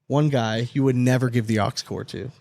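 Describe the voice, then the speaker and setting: A man's voice: medium pitch, brisk, vocal fry, a little mellow. A man co-hosting a podcast with casual banter, talking in a blunt, profane, slangy way. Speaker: podcast co-host.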